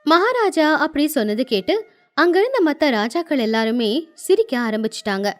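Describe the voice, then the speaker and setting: A woman narrating a moral folktale as an animated storyteller. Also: high voice, normal speed, soft background music.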